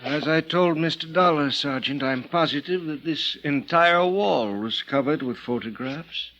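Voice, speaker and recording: A man's voice: on the high side, unhurried, fairly bright tone. An actor playing an elderly former film director turned mission brother, hesitant and fumbling his words, in a vintage radio drama. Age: older. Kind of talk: 1950s radio drama acting. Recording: dull lo-fi vintage radio recording.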